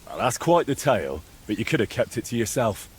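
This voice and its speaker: An actor playing a calm young man in a medieval game, questioning people in a measured, dryly mocking, insistent way. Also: mid-range voice, husky. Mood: firm, accusing. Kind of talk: video game dialogue acting.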